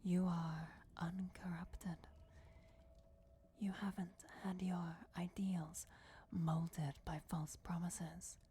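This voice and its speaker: A woman's voice: on the low side, little pitch movement, very slow, warm tone, slight background noise. A woman voicing a regal, commanding vampire goddess in a solemn whispered fantasy ASMR roleplay. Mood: solemn, intimate. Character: ancient vampire goddess, commanding, softly menacing, bestowing destiny.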